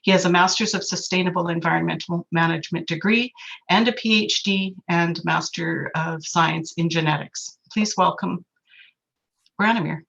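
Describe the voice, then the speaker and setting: A woman hosting an online meeting introduces the guest by steadily reading out a prepared bio. Her voice has a strong gravelly fry, and the call audio sounds muffled and lo-fi. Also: fairly low pitch, fairly even intonation, normal speed, fairly bright tone. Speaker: host introducing the speaker. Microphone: video call.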